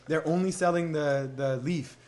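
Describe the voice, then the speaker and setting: This is a young man hosting a live stream in an easygoing, chatty way. His voice sits a little higher than most men's, and he stops often to read out comments and thank viewers. His speech is loose and casual, with fillers between answers. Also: moderate pace, darkish tone. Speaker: livestream host answering viewer questions.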